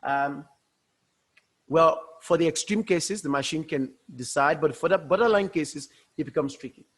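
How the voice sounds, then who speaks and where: Guy w a giving a research talk on a video call, bit higher voice, loose and chatty, keeps rephrasing. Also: moderate pace, fairly bright tone. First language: Yoruba. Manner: informal and loosely explaining, often rephrasing mid-sentence. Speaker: researcher presenting at a workshop. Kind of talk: academic conference presentation.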